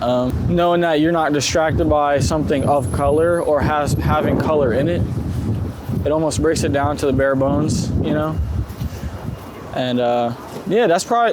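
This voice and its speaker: A man chatting casually and excitably in slangy speech while out walking as a street photographer. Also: a little high. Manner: excitable, slangy, quick to gush. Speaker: street photographer being profiled. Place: city street, outdoors. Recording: outdoor street noise, passing traffic. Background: urban ambience and vehicles.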